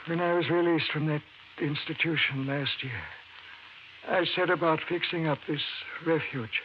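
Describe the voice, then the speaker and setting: An actor playing a frail, dying old professor in an old-time radio drama, speaking weakly, haltingly and with urgency. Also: male voice, a little high, close to an everyday voice. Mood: haunted, bitter. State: weak, failing. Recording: muffled, hissy old radio recording.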